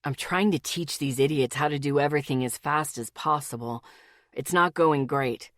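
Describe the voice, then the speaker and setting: A woman voicing a husky, grumbling young man who teases his girlfriend in an audiobook. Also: moderate pace, a bit bright, no echo, studio quality. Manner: grumbling, low and teasing. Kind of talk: audiobook character voice.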